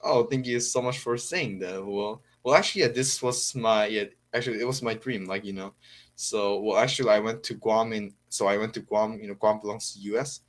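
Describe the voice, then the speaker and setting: A man's voice: on the low side, a little fast. A teenage boy from Korea speaking English with an American-leaning accent, hesitant and full of fillers, practicing on a video call. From South Korea. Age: teens. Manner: hesitant, many fillers and restarts. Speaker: student. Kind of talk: casual conversation practice.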